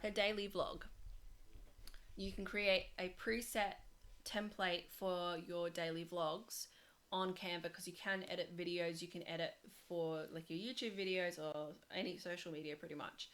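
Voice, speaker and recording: Chatty woman with a low, rambling voice. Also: a bit bright. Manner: chatty, rambling, many fillers and restarts. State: losing her voice. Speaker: design tutor. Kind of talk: casual screen-share tutorial.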